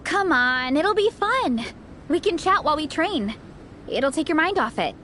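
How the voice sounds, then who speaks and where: A woman's voice: high voice, big pitch swings, unhurried, bright, forceful tone, a little hiss or hum, heavily acted. A woman playing a peppy, earnest young female runner in an anime game, with a bubbly, exclamatory delivery. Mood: friendly, coaxing. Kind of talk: anime game character acting. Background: game music.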